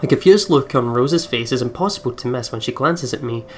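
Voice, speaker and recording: A woman's voice: very deep voice, animated, fairly quick, slight background noise. A woman hosting a let's play, casually commenting and reading the game text aloud in a chatty way.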